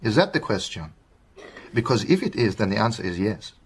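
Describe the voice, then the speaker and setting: An actor playing a genial, cautious doctor in a radio drama, speaking in a courteous, measured way with a Southern African accent. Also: male voice, lowish voice, very animated, slightly rough, exaggerated voice. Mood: playful. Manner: courteous, measured, precise phrasing.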